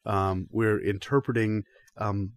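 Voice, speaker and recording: A man playing an earnest, pretentious stage performer in a deadpan improvised interview, hesitant and rambling. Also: lowish voice, takes it slowly, no echo. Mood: deadpan explaining. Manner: hesitant, rambling, self-serious. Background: guitar music.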